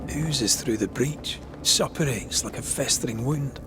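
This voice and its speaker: An actor playing a rugged, wry ghost hunter in a video game. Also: male voice, medium pitch, a little slow, background noise, natural voice. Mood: wry, purposeful. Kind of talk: dramatic video game acting. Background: game music.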